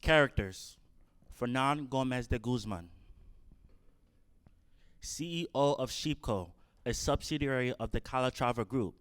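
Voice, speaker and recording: A man narrating a script for a staged reading, announcing each item in a measured way. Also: mid-range voice, a bit bright, clean tone. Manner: measured, announcing items one by one.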